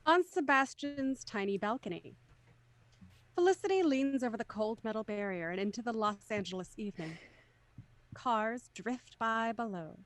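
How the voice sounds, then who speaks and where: A woman with a fairly high voice reads audio drama narration in a soft, measured way. The sound is dry and close, with no room around it. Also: animated, no room sound.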